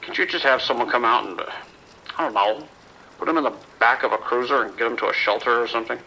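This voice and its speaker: A man playing a gruff, exasperated local on a call in an audio drama, blunt and grumbling. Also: medium pitch, quick pace, pushed and bright, a little hiss or hum, poor audio. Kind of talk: audio drama phone dialogue.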